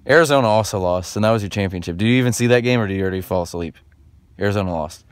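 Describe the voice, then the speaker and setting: A young man chatting casually through a travel vlog, filming himself on a phone at arm's length, excitable and saying "literally" a lot. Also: fairly low pitch, very fast, dry recording. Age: young adult. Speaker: vlogger. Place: car, street and home, phone held.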